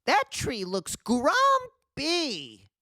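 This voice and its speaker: A man voicing excitable, adventurous young children in a storybook. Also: very high-pitched, very slow, dry recording, clean studio sound, exaggerated voice. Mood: excited.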